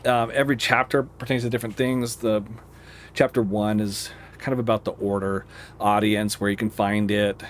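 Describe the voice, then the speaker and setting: A man with an American accent giving casual, explanatory commentary over a simulator game, thinking aloud in a halting, rambling way. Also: on the low side, flat delivery, slight background noise. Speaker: air traffic controller streaming a simulator game.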